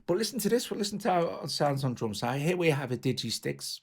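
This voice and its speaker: A man giving an informal, enthusiastic walkthrough as a music software tutorial presenter, talking casually. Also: a little high, sing-song, fast, heavy background noise. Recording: music playing behind the voice. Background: drum machine and instruments.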